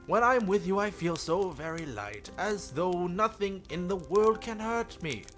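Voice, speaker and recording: Man putting on a sweet high-pitched coy princess. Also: big pitch swings, a little slow, faint music behind, full character voice. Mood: tender, doting. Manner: coy, gentle, tender. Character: sweet, playful, doting young princess.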